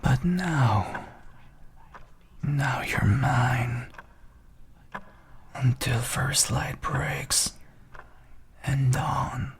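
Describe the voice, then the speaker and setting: A man playing a sinister, possessive entity that taunts its sleepless victim in a dark horror audio roleplay, with a gravelly, breathy whisper and a menacing, intimate manner. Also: mid-range voice, drawn-out words, pauses often, slight background noise. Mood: triumphant, possessive.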